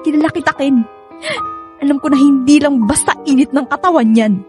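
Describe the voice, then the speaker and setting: A woman's voice: sits high, fairly quick, lightly acted. A woman playing a hardworking, nagging and hurt wife and mother in a Filipino radio drama, emotional and scolding. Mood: anguished. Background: background music.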